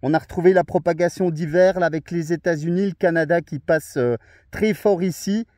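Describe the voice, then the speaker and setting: Man, portable ham radio on a summit, high voice, dry close sound, chatty with fillers. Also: fairly quick, darkish tone, dry recording. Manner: chatty, friendly, frequent fillers. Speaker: radio amateur operating outdoors. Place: outdoors on a mountain summit. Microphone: portable radio, filming a video.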